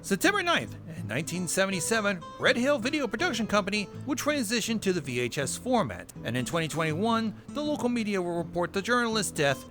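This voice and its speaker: A man's voice: sits high, sing-song, a little fast, hardly any pauses. A man hosting a narrated recap, with wry asides and casual swearing. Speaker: video host. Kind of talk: narrated recap of horror web series. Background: music bed.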